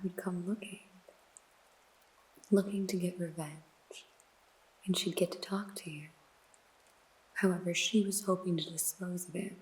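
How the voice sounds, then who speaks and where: A woman's voice: fairly low pitch, close to an everyday voice, rough recording. A woman playing a sly whispering noir detective. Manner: slow, teasing, mostly whispered interrogation. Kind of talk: ASMR noir roleplay.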